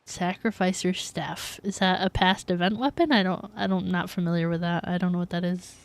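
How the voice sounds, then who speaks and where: A woman's voice: fairly low pitch, fairly even intonation, brisk. A woman livestreaming a game, reacting casually and chatting informally with her viewers. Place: livestream, game audio behind.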